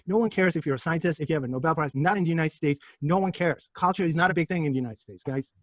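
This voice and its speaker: A man's voice: fairly high pitch, racing, dry recording. A middle-aged man from Turkey lecturing a physics class, joking and throwing rhetorical questions at his students.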